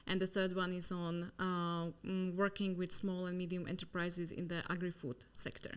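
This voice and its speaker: A woman's voice: fairly low pitch, completely flat delivery, normal speed, smooth. A woman, a guest chatting about her work in an unscripted interview, repeating words as she searches for them. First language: Slovak. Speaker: guest, NGO head of mission. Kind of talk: casual interview chat.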